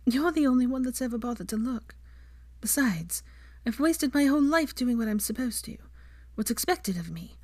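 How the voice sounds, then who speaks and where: A woman voicing an eager, bookish young woman who talks brightly, earnestly and a little breathlessly. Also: fairly high pitch, lively intonation, normal speed, no echo, voice pushed up.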